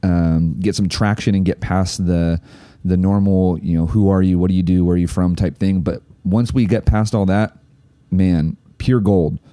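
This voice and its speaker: A man's voice: sits low, moderate pace, no room sound. A man hosting a coaching podcast, talking casually and enthusiastically with plenty of fillers and restarts. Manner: relaxed, filler-heavy, enthusiastic ad read. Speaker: podcast host. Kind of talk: casual podcast intro and interview.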